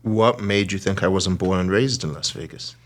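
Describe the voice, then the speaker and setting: A man with a British accent and a very low voice tells his story in a relaxed conversational podcast. He is animated and drops into quoted lines from other people. He sounds like he is in his early forties. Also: normal speed. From South Africa. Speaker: hotel and casino CEO. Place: small podcast studio.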